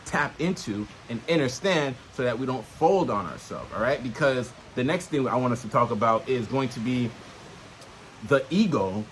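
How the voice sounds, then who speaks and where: A man's voice: mid-range voice, expressive, moderate pace, slight background noise. A man talking casually and unscripted to camera, teaching in streetwise slang with frequent swearing and checking in with the listener. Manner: punctuates points with checking questions to the listener. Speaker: self-help vlogger. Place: outdoors in nature.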